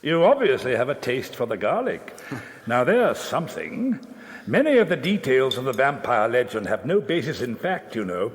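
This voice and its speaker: Man playing an animated, pedantic old Scottish steward. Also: mid-range voice, moderate pace, long reverb tail, natural voice. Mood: pedantic, disdainful. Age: 60s. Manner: lecturing, formal, scornful of superstition. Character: pedantic, frugal old Scottish steward and librarian in his sixties. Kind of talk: radio drama acting.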